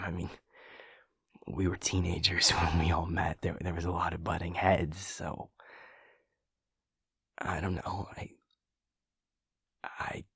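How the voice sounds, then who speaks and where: A young man played by a male voice actor with a very deep, heavily husky voice. He talks slowly in casual, slangy banter, like a close friend in an intimate audio drama. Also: a bit bright, no echo, heavily acted. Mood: hesitant, tender. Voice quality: low, gravelly, husky. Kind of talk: intimate naturalistic audio roleplay acting.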